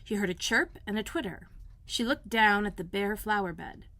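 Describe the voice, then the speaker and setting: A woman librarian reading a children's book aloud in a gentle, clear storytelling manner. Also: medium pitch, lively intonation, moderate pace. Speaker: school librarian.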